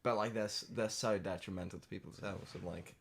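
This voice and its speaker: Gravelly teen boy, low and joking. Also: fairly even intonation, a little fast. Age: teenager. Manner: joking, mock-offended. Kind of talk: casual chat.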